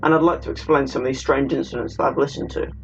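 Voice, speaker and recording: A man's voice: medium pitch, fairly even intonation, fast. A man narrating a video essay, partly scripted and partly casual. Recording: dull lo-fi audio with faint noise.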